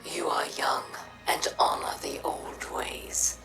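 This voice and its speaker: A woman playing a bitter, battle-worn alien pirate captain in a video game, breathy, rasping and deliberate. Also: low-pitched, steady tone, in a whisper, heavily acted. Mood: bitter, grave. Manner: slow, deliberate, formal. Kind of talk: video game character dialogue. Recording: echoey, with music behind.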